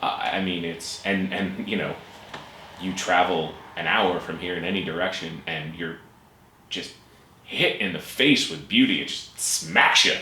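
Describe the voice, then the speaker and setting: A man hosts a relaxed interview, circling his questions with ums and restarts. His voice is low yet bright and forward. The room sounds echoey, with a faint hiss behind him. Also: unhurried. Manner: warm, hesitant, many ums and restarts. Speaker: host. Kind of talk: casual interview. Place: room with bookshelves, at a table.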